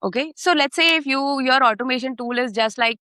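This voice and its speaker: A woman's voice: high voice, a little fast, bright, forceful tone, no echo, clean studio sound. A woman guest on a podcast who explains her work through anecdotes and often checks in with her listener. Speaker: podcast guest, content marketer. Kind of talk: podcast interview.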